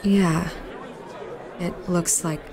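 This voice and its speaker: An actress playing a confident, probing reporter in a video game, with a pointed, inquisitive delivery. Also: female voice, fairly low pitch, fairly even intonation, extremely slow, crowd noise. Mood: curious, probing.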